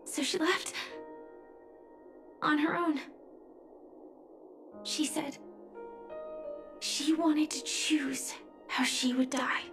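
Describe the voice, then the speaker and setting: Grieving young female soldier, high voice, soft and breathy, solemn, game acting, roomy echo. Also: steady tone, drawn-out words, pauses often, full character voice. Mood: solemn, resigned. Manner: solemn, restrained, reflective. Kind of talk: dramatic video game voice acting. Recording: roomy echo, music underneath. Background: music.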